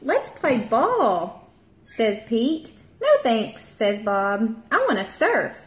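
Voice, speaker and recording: Young woman teacher reading a storybook to kids at home; slow, sing-song delivery with a bright, higher voice; echoey room, camera at table distance. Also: a little hiss or hum. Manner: slow, expressive read-aloud, bright voices for the characters. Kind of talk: reading a picture book aloud to young children.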